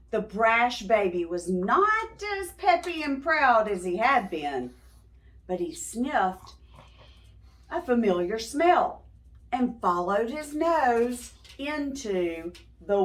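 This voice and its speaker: Slow-paced woman reading aloud to children. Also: mid-range voice, big pitch swings. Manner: teacherly, prompts young listeners with simple questions. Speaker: children's read-aloud host. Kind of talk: read-aloud storytelling for young children, with questions.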